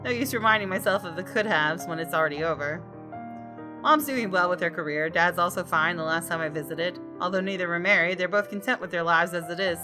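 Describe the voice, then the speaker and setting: A woman, a gaming streamer reading visual novel narration aloud in an expressive, dramatic way. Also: lowish voice, a little fast. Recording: music behind the voice. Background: game music.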